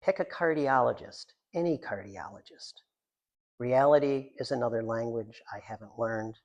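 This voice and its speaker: A man with a noticeably gravelly, creaky voice at an ordinary middle pitch gives a live literary reading of his own writing. He slips into rambling, self-deprecating asides with a joking manner. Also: normal speed. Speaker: writer reading from own work.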